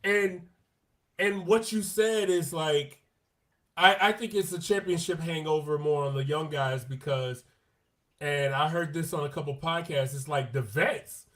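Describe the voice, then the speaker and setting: Lively, higher-voiced male podcast co-host. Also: measured pace. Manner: animated, quotes players in their own voices; slangy, repeats points for emphasis. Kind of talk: casual sports chat.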